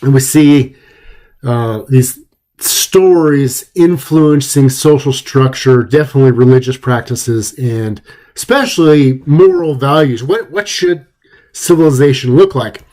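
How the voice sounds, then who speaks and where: A man giving an informal introductory lecture in a conversational, somewhat halting way. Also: mid-range voice, dark tone.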